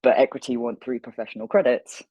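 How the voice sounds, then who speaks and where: A man's voice: mid-range voice, steady tone, fast, warm tone, husky, no room sound. A young man chatting casually as an interview guest, speaking emphatically and repeating phrases for effect. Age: young adult.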